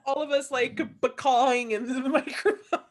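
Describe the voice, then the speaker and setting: A woman's voice: high-pitched, steady tone, moderate pace. A woman from an audio drama cast, talking in casual conversation. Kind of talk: casual chat.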